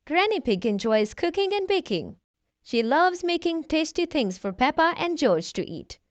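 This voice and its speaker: A woman reading a children's storybook aloud, addressing young listeners in an expressive storytelling manner. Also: high voice, normal speed, no echo. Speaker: children's storybook reader, channel host.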